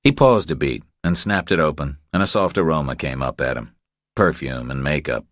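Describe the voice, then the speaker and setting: A man's voice: a bass voice, normal speed, no echo, studio quality. A man reading scripted narration from a thriller novel as an audiobook narrator.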